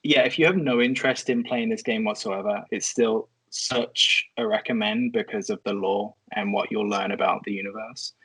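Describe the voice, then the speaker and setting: A man's voice: on the low side, fairly even intonation, normal speed, hardly any pauses, fairly bright tone, breathy edge, rough recording. A man hosting a casual discussion podcast and putting questions to the panel. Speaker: podcast host.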